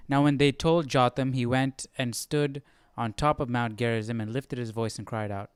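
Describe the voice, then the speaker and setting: A man hosting a Bible study, casually thinking aloud as he reads, haltingly asking himself questions. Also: mid-range voice, steady tone, clear, resonant tone, no echo. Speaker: host of a live Bible study.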